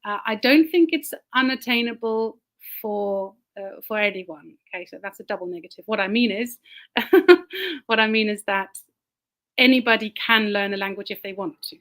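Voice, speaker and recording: A woman who grew up in Germany with German as her first language, a teacher giving a casual talk over a video call, chatty and quick to laugh. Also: mid-range voice, expressive, fairly bright tone. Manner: chatty, laughs often, repeats words while thinking. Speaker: guest speaker, teacher.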